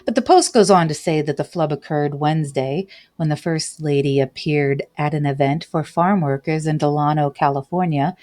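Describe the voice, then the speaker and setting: A woman with a slightly low voice hosts a casual commentary show. She reads news aloud in a relaxed, conversational way. She checks in with listeners through quick tag questions and slips in light laughs. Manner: chatty, adds tag questions like "right?" and "okay?"; laughs lightly between remarks.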